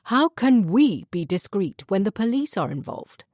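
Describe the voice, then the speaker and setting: A woman's voice: mid-range voice, very animated, moderate pace, a little mellow, no room sound, clean studio sound, pitched above the performer's own voice. A woman reading an audiobook, voicing an evasive male school official who speaks in a pompous, formal way.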